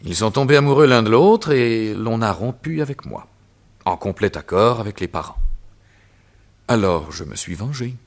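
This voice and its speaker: An actor voicing a gloating, theatrical villain who is a doctor and hypnotist in a vintage radio drama. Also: male voice, on the low side, expressive, moderate pace. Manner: sneering, theatrical, laughs at his own cruelty.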